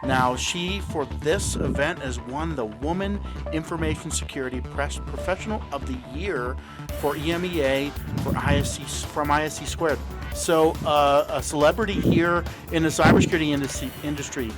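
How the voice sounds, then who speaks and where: A man's voice: a little high, moderate pace. Hesitant male podcast host over music. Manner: hesitant, many fillers and restarts. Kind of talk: casual interview hosting.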